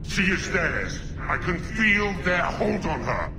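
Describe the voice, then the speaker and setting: A wrathful spirit speaking through a male mage in a fantasy game. The voice is gravelly and slow, swinging widely as it menaces, with clear ambience noise behind it. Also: high-pitched, sing-song, full character voice. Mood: menacing, accusing.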